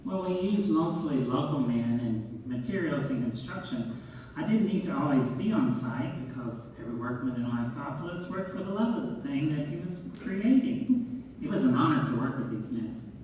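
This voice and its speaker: A man's voice: mid-range voice, lively intonation, normal speed, darkish tone, natural voice. An actor playing a proud, modest man who oversaw a building project, in a staged read-through. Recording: echoey hall from far off, faint noise.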